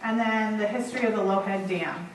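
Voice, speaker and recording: A woman giving a public talk as guest speaker, relaxed and conversational. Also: mid-range voice, a little fast, a little hiss or hum. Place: auditorium. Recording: echoey hall, distant mic.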